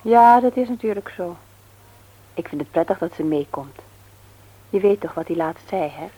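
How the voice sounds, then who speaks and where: An actress playing a chatty, expectant wife in brisk conversational radio drama dialogue. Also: female voice, lowish voice, animated, dark tone, poor audio.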